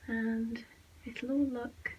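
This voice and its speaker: A soft-spoken, breathy woman giving casual behind-the-scenes vlog narration, often dropping into a whisper. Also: a little high, little pitch movement, very slow, muffled recording. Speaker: ASMR vlogger and host.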